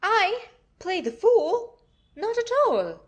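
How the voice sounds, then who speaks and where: A haughty young wife, played by a woman in an old stage comedy audio play. Her high voice swoops with animated, theatrical inflection, flippant and defiant. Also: slow, dull audio, full character voice. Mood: mock-innocent.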